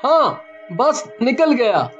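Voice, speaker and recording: A man acts out a miserable young husband, worked to the bone in a cartoon horror tale. His voice is high and plaintive, and he drags his words slowly. The sound is slightly dull, with music underneath. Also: very animated, a bit bright, exaggerated voice. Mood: eager, placating. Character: miserable, overworked young husband enslaved by a witch. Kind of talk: Hindi cartoon horror story acting.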